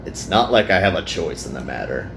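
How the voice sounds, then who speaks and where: A man's voice: a bass voice, sing-song, brisk, vocal fry, echoey room, background noise, voice pushed down, exaggerated voice. A man reading the part of a weary, grumbling young male hero in an anime game.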